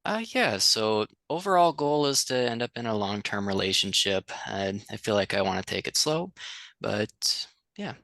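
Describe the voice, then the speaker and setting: A man's voice: fairly low pitch, expressive, normal speed, gravelly, pushed and bright, no room sound. A man in his twenties introducing himself casually, hesitant and starting with fillers. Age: 20s. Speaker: caller.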